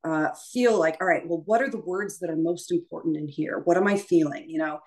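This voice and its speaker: This is a woman with a slightly low-pitched voice, speaking as a voice teacher in an informal lesson. She explains in a conversational, halting way, often pausing to rephrase. Her manner is encouraging and affirming. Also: a little mellow. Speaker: voice teacher leading an audition prep session.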